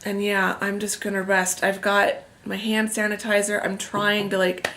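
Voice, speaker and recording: A woman's voice: medium pitch, completely flat delivery, normal speed, a bit bright. A woman vlogger chatting casually on camera with a head cold, sounding stuffed up and a little tired. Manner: chatty, rambling, self-deprecating. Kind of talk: casual vlog chat. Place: indoors at a family house, on a couch.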